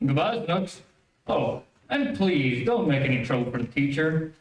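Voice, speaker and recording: A man's voice: on the high side, sing-song, measured pace. A man playing an exasperated, scolding father in a stern, fussy golden-age radio comedy style. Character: exasperated scolding father of a naughty little girl. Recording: echoey room, distant mic, faint noise.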